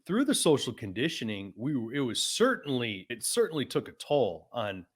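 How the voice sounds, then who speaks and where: A man's voice: medium pitch, wildly swooping pitch, moderate pace, slightly rough. A man of about forty hosting a podcast, talking frankly and casually, often adding "man" and swearing. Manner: laid-back, frank, swears, says "man" a lot. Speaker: podcast host.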